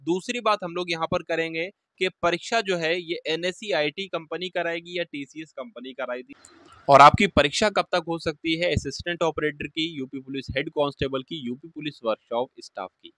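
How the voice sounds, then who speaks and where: A man's voice: a little high, normal speed, sharp tone, dry recording. A man giving an informal explanatory lesson like an exam coach, repeating points and often checking that listeners follow. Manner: repeats points, asks and answers own questions, checking in often. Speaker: exam-news presenter and coach.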